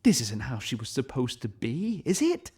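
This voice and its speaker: A man voicing a measured, earnest ship captain. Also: mid-range voice, wildly swooping pitch, darkish tone, breathy edge, heavily acted.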